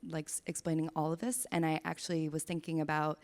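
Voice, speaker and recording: A young woman in her twenties, a genetic counseling student, commenting from the audience, quick with frequent fillers. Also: sits low, level tone, a little mellow.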